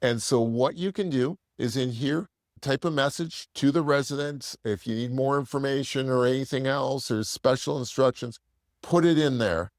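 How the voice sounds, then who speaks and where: A man's voice: mid-range voice, normal speed, no echo, studio quality. A man presenting a casual product demo in a plain-spoken, explanatory, conversational way.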